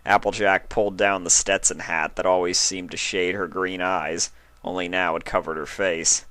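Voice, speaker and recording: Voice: low-pitched, level tone, normal speed, bright, forceful tone, no echo. A narrator reading a scripted story aloud as a fan fiction reader.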